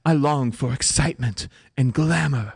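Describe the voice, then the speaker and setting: A man's voice: medium pitch, normal speed, gravelly, darkish tone, slightly rough, no echo, clean studio sound. A man playing a vain, melodramatic retired stage actress mother with a British accent, in an amateur read-through of a comedy. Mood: rapturous. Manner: grandiose, melodramatic delivery.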